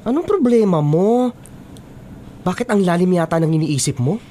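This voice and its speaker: Actress as a sassy, animated best friend. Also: female voice, lowish voice, moderate pace, slight background noise, close to an everyday voice. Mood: teasing, curious. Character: sassy, nosy, supportive young female best friend. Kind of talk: Filipino radio drama acting.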